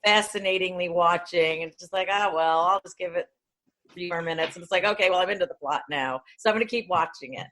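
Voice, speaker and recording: A woman's voice: fairly low pitch, quick pace, a bit bright, a little hiss or hum. A middle-aged or older woman, chatting casually as a podcast co-host. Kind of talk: casual chat.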